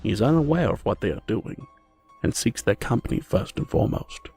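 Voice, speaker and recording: A man narrating a scripted fantasy audio drama as a measured storyteller. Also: fairly low pitch, expressive, darkish tone, slightly rough. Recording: music under the voice.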